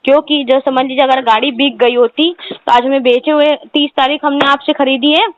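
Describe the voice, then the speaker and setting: A woman's voice: high-pitched, steady tone, brisk. A woman complaining at length as a customer on a phone call, in an insistent, aggrieved manner. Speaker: customer complaining to a car salesman. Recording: noisy tinny phone line with clicks.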